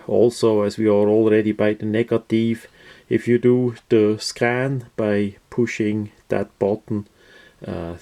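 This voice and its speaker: Slow, gravelly-voiced man, non-native English speaker. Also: lowish voice, warm tone. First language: not English. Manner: hesitant, halting, matter-of-fact delivery with many pauses. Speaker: product reviewer. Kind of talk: casual unscripted product overview.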